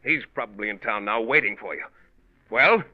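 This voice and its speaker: An actor playing a bitter, jealous ex-husband in a 1940s radio drama, with a biting, sarcastic manner. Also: male voice, lowish voice, expressive, moderate pace. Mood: bitter, angry. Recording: narrow-band vintage radio recording.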